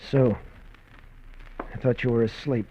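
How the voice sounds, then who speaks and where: An actor playing an edgy, brooding husband with a guilty secret in a 1950s radio drama. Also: male voice, mid-range voice, warm tone, a little hiss or hum, natural voice. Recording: dull vintage 1950s radio.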